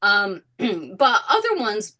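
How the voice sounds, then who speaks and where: A woman who is sick, with a raspy voice, chatting casually on a call and laughing often. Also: mid-range voice, huge pitch swings, takes it slowly, pushed and bright. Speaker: caller. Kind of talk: casual chat.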